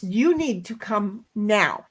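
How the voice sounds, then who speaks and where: A middle-aged woman with a loud voice, telling a story bluntly in a casual chat, swearing now and then. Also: medium pitch, expressive, slow, breathy edge. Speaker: co-host.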